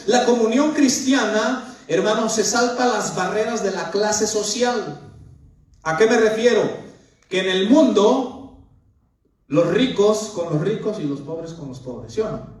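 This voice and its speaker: A man's voice: sits high, darkish tone. Man, pastor mid-sermon; lists and repeats for emphasis; very reverberant space. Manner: earnest, keeps calling listeners "brother". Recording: very echoey room, mic far off.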